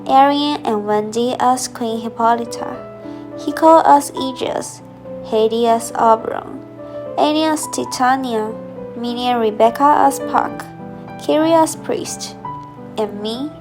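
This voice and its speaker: Woman narrator, slightly high voice, music. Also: a little slow. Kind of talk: scripted radio drama narration.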